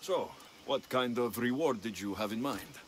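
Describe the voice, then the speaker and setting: A male actor playing a steady, dutiful ancient Greek mercenary hero in a video game cutscene. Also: medium pitch, normal speed, slight background noise, close to an everyday voice. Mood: flirty. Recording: loud music behind the voice.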